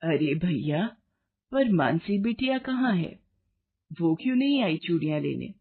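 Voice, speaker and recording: A woman acts out a poor old mother who sells bangles, kind and frail. She speaks gently and humbly, with affection, as in a storybook drama. The sound is narrow, like a phone line. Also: lowish voice, very animated, measured pace, breathy edge, heavily acted. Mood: curious. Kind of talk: acted dialogue in a moral story.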